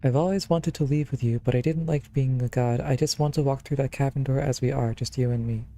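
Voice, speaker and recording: A man's voice: medium pitch, steady tone, a little fast, dark tone. A man streaming a visual novel, reading its text aloud and commenting casually. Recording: clear game music behind the voice.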